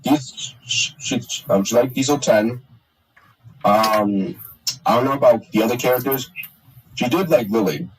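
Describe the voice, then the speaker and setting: A young man chatting casually on a stream, halting with many restarts and fillers. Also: mid-range voice, far from the mic, slight background noise, rough recording. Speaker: streamer and hobby voice actor. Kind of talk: casual chat on a live stream.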